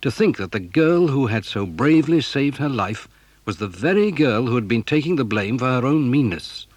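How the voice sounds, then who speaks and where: A man's voice: medium pitch, expressive, moderate pace, dry recording. A man reading a scripted children's story as narrator.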